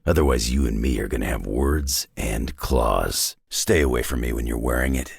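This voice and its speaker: A man voicing a gruff, cynical, battle-worn mutant brawler in a video game, with a gravelly, husky growl and a terse, dry tough-guy drawl. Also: very deep voice, moderate pace, dry recording, full character voice. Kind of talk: video game character acting.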